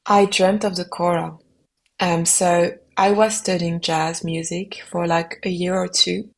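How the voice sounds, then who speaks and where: A young adult woman, a French native speaker, answering podcast questions reflectively and pausing often to find her words. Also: fairly low pitch, little pitch movement, creaky. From Belgium. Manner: reflective, searching for words with frequent ums. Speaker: musician guest.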